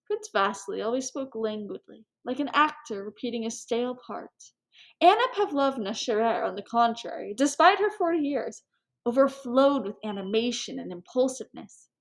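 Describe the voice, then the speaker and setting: A woman narrator reading a novel aloud in a scripted storytelling style. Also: high-pitched. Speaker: reader, narrator.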